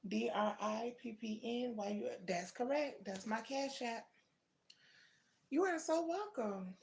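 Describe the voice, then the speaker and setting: Brief: woman in her thirties, livestream host, gravelly voice, chatty and familiar with her viewers. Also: a little high, expressive, a little slow, slight background noise. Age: 30s. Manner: chatty, addresses viewers as baby and twin, answers comments. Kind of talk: casual live chat with viewers.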